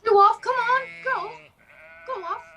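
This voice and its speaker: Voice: very high voice, measured pace, sharp tone, heavily acted. A young performer playing Joe, a policeman's curt, grumbling henchman, in an old-fashioned radio play over a video call. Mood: exasperated.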